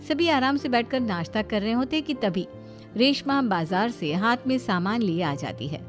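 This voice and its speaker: A woman's voice: on the high side, animated, moderate pace, faint music behind. A woman narrating a scripted cartoon family story as the storyteller.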